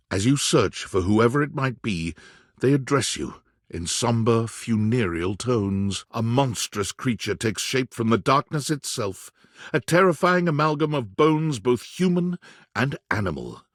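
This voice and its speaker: A man's voice: mid-range voice, big pitch swings, unhurried, no echo, studio quality. A man reading scripted second-person narration as the narrator of a video game. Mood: ominous.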